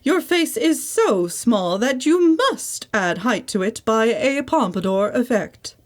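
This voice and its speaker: A woman's voice: fairly high pitch, very animated, slow. A woman voicing a domineering older aunt in a dramatic audiobook, over-enunciating everything with pompous weight. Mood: pompous, bossy. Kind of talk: dramatic audiobook character acting.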